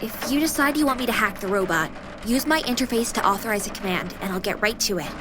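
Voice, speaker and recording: A woman's voice: fairly high pitch, quick pace, background noise, close to an everyday voice. A woman playing a teasing, sassy young female AI companion in a video game, with a playful, mock-formal manner.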